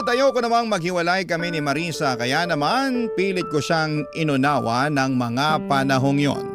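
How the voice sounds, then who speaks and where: An actor playing an earnest young man narrating his love story in a reflective, confessional radio drama style. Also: a little high.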